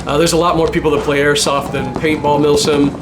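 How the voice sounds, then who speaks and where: A man's voice: a little high, little pitch movement, brisk, over loud music, low-quality recording. A man giving casual voice-over commentary on his own paintball game footage, chatty and self-deprecating, with small chuckles.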